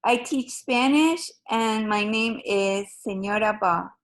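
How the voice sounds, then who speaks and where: A woman teacher from Ecuador presents her classes at a slow, deliberate pace. Her voice sits a little high, and she talks formally, pausing with an occasional um. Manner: slow, measured and formal, with frequent ums. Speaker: high school Spanish teacher. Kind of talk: recorded open house presentation.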